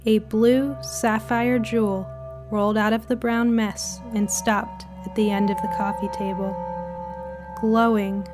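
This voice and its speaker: A woman reading a scripted spooky short story as narrator, in a dramatic, deliberate way. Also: mid-range voice, level tone. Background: music bed.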